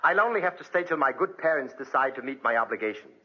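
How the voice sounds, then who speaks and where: An actor playing a worldly spendthrift gentleman in prison, breezy and sardonic, in 1940s radio drama style. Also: medium pitch, animated, fast talker, breathy edge. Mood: flippant. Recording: muffled 1940s radio recording.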